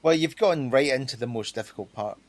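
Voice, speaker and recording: A man with a mid-pitched voice chats about films. He speaks informally, as a panelist bantering with friends on a podcast. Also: brisk. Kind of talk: casual film banter.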